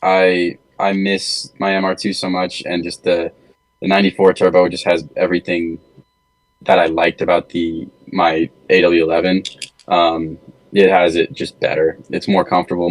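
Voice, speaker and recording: A man's voice: sits low, level tone, moderate pace, low-quality recording. A young American man chatting casually as a podcast co-host, with an informal, slangy, bro-ish way of talking. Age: young adult. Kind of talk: casual chat.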